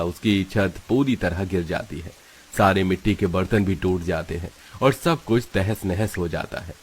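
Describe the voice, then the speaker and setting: A man's voice: low-pitched, husky. A man reading scripted narration for a children's moral story.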